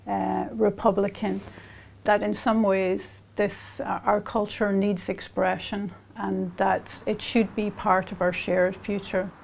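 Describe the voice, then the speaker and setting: A woman, speaking in a measured, reflective way in a documentary interview. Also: mid-range voice, little pitch movement, gravelly, darkish tone, slight background noise.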